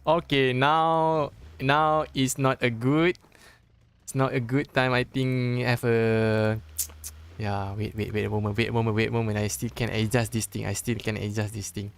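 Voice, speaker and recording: A young man from Malaysia with a Malaysian accent, a Malay native speaker casting a live mobile esports match in English, chatty and joking, with halting phrasing and frequent restarts. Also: mid-range voice, little pitch movement, creaky, clear, resonant tone. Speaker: esports caster. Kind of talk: live esports game commentary.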